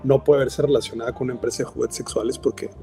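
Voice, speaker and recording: A man's voice: mid-range voice, fairly even intonation, fast, vocal fry, dark tone, faint music behind. A man with a Mexican accent, a company founder speaking as a guest in a casual interview, rambling with lots of filler words. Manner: rambling, frequent "este" fillers.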